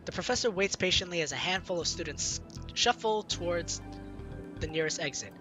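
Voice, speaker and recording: A man's voice: high voice, expressive, brisk. A man hosting a gaming video, reading visual novel narration aloud informally, with casual asides and self-corrections. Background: game music.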